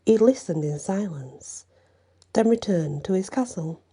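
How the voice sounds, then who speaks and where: A woman telling a fairy tale in a gentle, measured storybook reading, slipping into hushed passages. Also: mid-range voice, lively intonation, husky.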